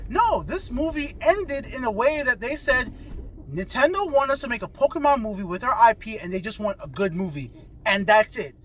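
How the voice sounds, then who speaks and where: A man's voice: extremely high pitch, animated, moderate pace, a bit bright. A man giving a casual, enthusiastic, rambling movie review as a video reviewer. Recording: noisy ambience with vehicle sounds. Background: vehicle and ambient noise.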